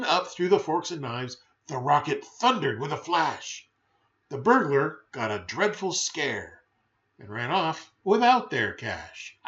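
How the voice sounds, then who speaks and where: A man's voice: fairly high pitch, fairly bright tone, dull audio. A man reading a children's rhyming picture book aloud in a theatrical, playful, emphatic way with brief chatty asides.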